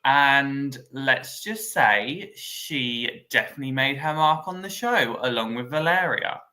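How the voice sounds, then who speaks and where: A man, co-hosting a podcast in excitable casual chat full of "like". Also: medium pitch, measured pace. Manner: excitable, filler-heavy, lots of "like". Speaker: podcast co-host.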